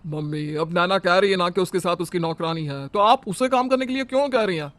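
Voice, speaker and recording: A man's voice: high voice, fairly quick, a bit bright, no echo. A man voicing a mild young husband who reasons with his mother. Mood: placating.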